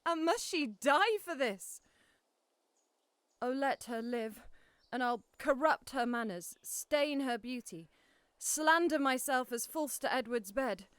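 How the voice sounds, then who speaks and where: A woman's voice: high-pitched, lively intonation, takes it slowly, fairly bright tone, smooth, no echo, exaggerated voice. An actress playing a widowed queen who mourns her sons and accuses the king in impassioned verse. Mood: defiant grief. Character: grieving widowed queen, fierce mother. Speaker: actor. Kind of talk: Shakespearean audio drama.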